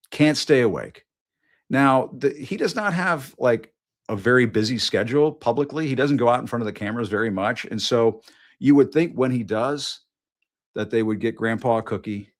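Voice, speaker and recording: A middle-aged man hosting a political news show, talking casually and mockingly, often restarting and repeating his lines. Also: mid-range voice.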